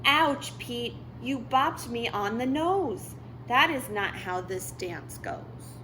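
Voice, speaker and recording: A helpful but easily miffed animal friend from a picture book, put on by a woman. The delivery is high and slow, with a little noise. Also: animated, fairly bright tone, low-quality recording. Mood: pained, scolding.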